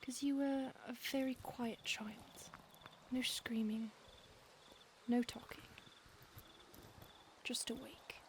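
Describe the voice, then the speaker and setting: Woman as centuries-old vampire stalker, female, soft menacing whispers, higher voice, noisy hiss behind. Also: slow pace, long pauses. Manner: soft, intimate, often whispered; calm, menacing, possessive. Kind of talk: audio roleplay.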